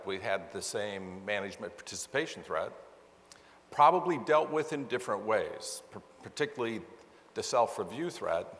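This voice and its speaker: A man's voice: medium pitch, a bit bright. A man explaining case scenarios to an audience as a presenter, in a conversational way, often rephrasing himself. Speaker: presenter at a conference. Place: large meeting hall with sound system. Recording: long reverb of a large hall.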